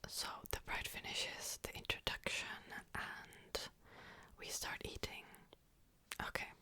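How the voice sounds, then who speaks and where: A young woman whispering a personal story in a chatty way, full of "like" fillers and small laughs. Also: slow. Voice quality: soft whisper throughout. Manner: chatty, frequent "like" fillers, amused.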